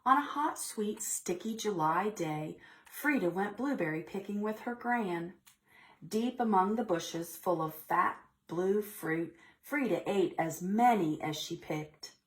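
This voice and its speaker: Expressive woman reading a storybook to children. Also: mid-range voice, takes it slowly, low-quality recording. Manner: expressive, asking the children questions. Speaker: children's librarian leading preschool storytime. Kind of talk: read-aloud storytelling with asides to children.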